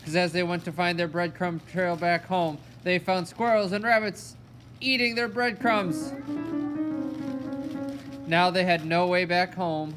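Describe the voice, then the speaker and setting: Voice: mid-range voice, measured pace, over music. A narrator telling a fairy tale in a storytelling style for a children's puppet show.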